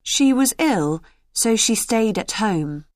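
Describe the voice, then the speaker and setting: A woman reading short example sentences clearly and expressively for a language course. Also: medium pitch, animated, takes it slowly, no echo. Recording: dry studio recording.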